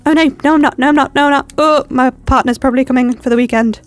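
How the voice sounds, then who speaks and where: A woman's voice: sits high, fairly even intonation, quick pace, faint music behind. Chatty woman with a cold. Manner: rambling, self-deprecating, lots of ums. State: stuffy from a cold. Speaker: planner vlogger. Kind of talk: chatty plan-with-me narration.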